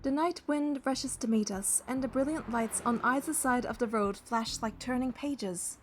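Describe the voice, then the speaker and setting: Woman reading as a young heroine in a romance game, composed narration, higher voice, music behind. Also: normal speed, natural voice. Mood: composed narrating. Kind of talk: scripted game narration and dialogue.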